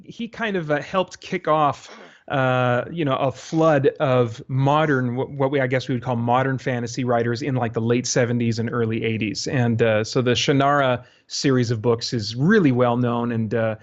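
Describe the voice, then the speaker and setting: A man's voice: medium pitch, steady tone, moderate pace. Casual man on dull lo-fi audio. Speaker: podcast guest, audio drama creator. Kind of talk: casual interview chat.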